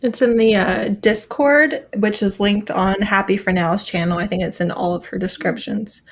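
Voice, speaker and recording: A woman's voice: medium pitch, normal speed. A woman chatting casually on a live group video call, slipping into acted-out quotes as she talks. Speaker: booktuber on a live readalong panel. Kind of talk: casual chat. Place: live group video stream, webcam or phone.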